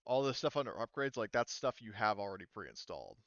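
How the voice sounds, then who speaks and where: Halting, clear-voiced man in dull audio. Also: fairly low pitch, very animated, a bit bright, dry recording. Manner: halting, explanatory, hedging. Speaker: game master. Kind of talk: explaining tabletop game rules in casual chat.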